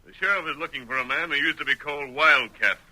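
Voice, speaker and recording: An actor playing a stern masked Western hero, American, with clipped, formal diction in 1930s radio style. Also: male voice, on the high side, fast, bright, forceful tone, rough recording. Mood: commanding. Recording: vintage 1930s radio recording.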